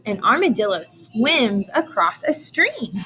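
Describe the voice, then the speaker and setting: A woman's voice: on the high side, very animated, takes it slowly, pushed and bright, faint music behind, poor audio. A woman teacher reading aloud to young children, explaining and asking questions in simple, emphatic language.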